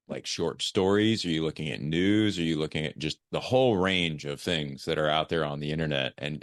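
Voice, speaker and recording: A man's voice: very deep voice, dry recording, studio quality. A man hosting an interview podcast, talking conversationally with his guest. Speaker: podcast host.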